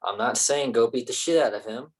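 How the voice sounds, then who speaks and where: A man's voice: medium pitch, a little fast, breathy edge, lightly acted, poor audio. A man acting as an awkward, protective young actor friend in a staged play reading, hesitant and defensive. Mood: insistent.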